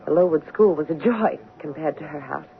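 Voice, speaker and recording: An actress playing a polite, forthright eighteen-year-old governess in a 1970s radio drama, speaking in a measured way. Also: female voice, deep voice, gravelly, a little hiss or hum. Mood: composed. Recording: tinny band-limited old radio recording.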